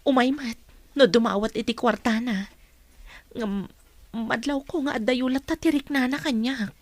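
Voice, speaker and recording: Audio drama role: patient, worried mother played by a woman, fairly high voice, earnest and measured, closely recorded. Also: dry recording, natural voice. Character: concerned, patient mother of daughters.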